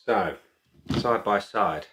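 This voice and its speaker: Deep-voiced man, bright forceful tone. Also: slow pace, a little hiss or hum. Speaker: beer reviewer and video host. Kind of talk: casual review to camera.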